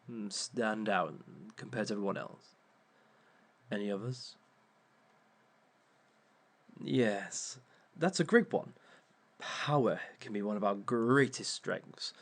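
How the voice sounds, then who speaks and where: A man playing a slow, gravelly, sardonic villain lecturer. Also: fairly low pitch, full character voice. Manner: slow, dry and sardonic, with small amused laughs. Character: suave, wry male villain lecturing a seminar. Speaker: villain instructor. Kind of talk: audio roleplay monologue.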